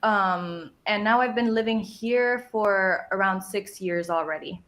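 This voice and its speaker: A young woman from Finland, a company co-founder, answering interview questions in a relaxed, friendly way over a video call. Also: medium pitch, measured pace. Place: home room, video call. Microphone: video call webcam.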